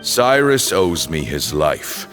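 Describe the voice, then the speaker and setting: An actor playing a solemn, accusing old leader of a desert temple in a video game, speaking in formal, grave oratory. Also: male voice, extremely low pitch, big pitch swings, takes it slowly, over music, full character voice. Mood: stern. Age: older.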